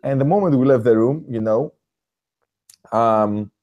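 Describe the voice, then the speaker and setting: A man with a Greek accent, a native Greek speaker, hosting a casual, animated conversation. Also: medium pitch, unhurried. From Greece. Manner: animated, swears casually, restarts sentences. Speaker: host, motivational speaker.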